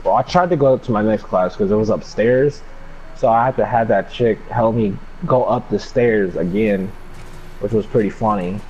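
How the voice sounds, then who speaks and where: A man's voice: on the low side, darkish tone. A young man telling a personal story casually in a laid-back, slangy way with some swearing. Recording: dull lo-fi mic with background noise.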